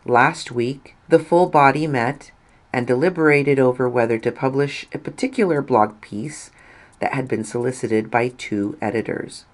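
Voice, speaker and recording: A woman reading a written article aloud as scripted narration, in a measured, deliberate way with pauses between phrases. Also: extremely low pitch. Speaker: reader of an article.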